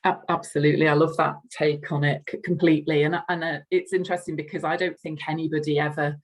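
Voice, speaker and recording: A woman's voice: deep voice, level tone, quick pace. An effusive woman with a Liverpool accent speaks as a podcast guest. She talks with eager, unpolished enthusiasm, doubling back on words mid-sentence. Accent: Liverpool (Scouse). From Liverpool, England. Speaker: guest, customer care strategy lead. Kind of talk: podcast interview chat.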